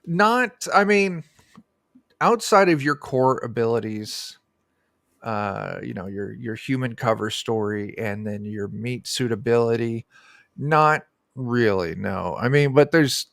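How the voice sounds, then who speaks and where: A man's voice: mid-range voice, animated, slow, creaky, fairly bright tone, dry recording. Man chatting casually, dry close sound. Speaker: guest, game designer. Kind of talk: casual interview chat about a tabletop game. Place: indoor room on a video call.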